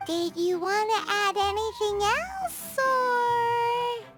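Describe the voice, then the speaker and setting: Squeaky little fairy sidekick. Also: female voice, very slow, a bit bright, heavily acted. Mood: puzzled. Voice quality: squeaky, childlike. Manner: refers to self in third person, exclamatory. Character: small excitable childlike fairy companion, blunt and cheeky. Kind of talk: English game voice acting. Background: background music.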